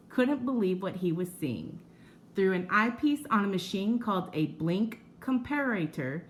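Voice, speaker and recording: Animated woman reading aloud, noisy recording. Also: on the low side. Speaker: teacher reading aloud to pupils. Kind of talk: children's nonfiction book read aloud.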